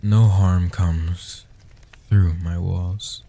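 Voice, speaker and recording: A man playing a centuries-old guardian vampire, gentle and protective, speaking softly and huskily in hushed, slow phrases for a comfort roleplay audio. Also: deep voice, little pitch movement, exaggerated voice. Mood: gentle, reassuring. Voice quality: soft-spoken, husky. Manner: slow, hushed delivery with long pauses.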